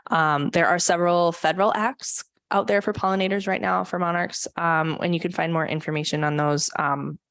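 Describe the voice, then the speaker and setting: Lower-voiced woman giving a conservation talk. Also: steady tone, a little fast, few pauses, fairly bright tone, dry recording, studio quality. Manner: earnest, frequent um fillers. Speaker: conservation presenter. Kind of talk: public talk at a church forum. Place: church meeting room.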